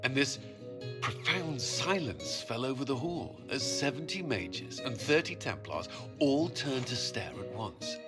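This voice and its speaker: A man acting as a fantasy-game military commander, dutiful and dryly humorous. He has a mid-pitched voice with animated rises and falls and a formal turn of phrase, over music. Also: measured pace, natural voice. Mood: amused storytelling. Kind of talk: video game cutscene acting.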